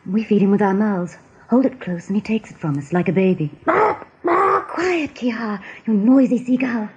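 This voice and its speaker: A caring female rabbit tending the sick in a radio drama. Her voice is very breathy and husky, caught on a dull recording. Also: mid-range voice, animated, moderate pace. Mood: scolding. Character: gentle, caring female rabbit nursing a patient. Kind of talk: radio play acting.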